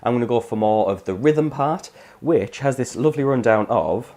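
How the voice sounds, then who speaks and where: A man, hoarse from a cold, explaining guitar chords step by step in a casual tutorial. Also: mid-range voice, lively intonation, moderate pace. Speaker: guitar teacher.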